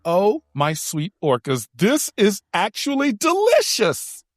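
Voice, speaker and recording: Woman doing an excitable dramatic foodie adventurer, pitch all over the place, flowery talk, dry studio sound. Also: takes it slowly, no room sound, faint music behind, exaggerated voice. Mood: excited. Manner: melodramatic, flowery, gushing. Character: theatrical, food-loving, excitable young woman adventurer. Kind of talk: science fiction audio comedy drama.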